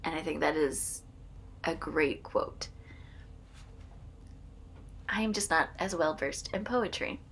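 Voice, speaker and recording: A woman's voice: deep voice, slow, vocal fry, pauses often, fairly bright tone, slight background noise. A woman in her thirties with a Midwestern accent, chatting to her webcam as a channel host at home and reading a poem aloud with care. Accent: American Midwestern. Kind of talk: casual vlog with a poetry reading. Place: home room with bookshelves, indoors.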